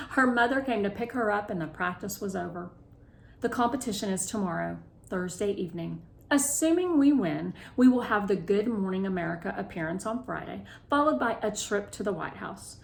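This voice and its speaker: A woman reading a children's novel aloud expressively, with deliberate phrasing. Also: medium pitch, vocal fry, echoey room, a little hiss or hum. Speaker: read-aloud reader.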